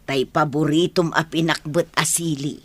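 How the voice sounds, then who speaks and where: Gentle, worried old grandmother. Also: sits low, fairly even intonation, normal speed, no echo. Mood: worried, soothing. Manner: soothing, admonishing. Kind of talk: radio drama acting.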